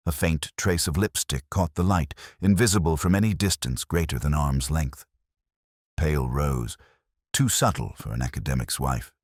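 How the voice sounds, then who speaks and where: Very deep-voiced man narrating a detective story. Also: a little mellow, slightly rough, no echo, clean studio sound. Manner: measured, literary, reflective. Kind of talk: scripted first-person detective story narration.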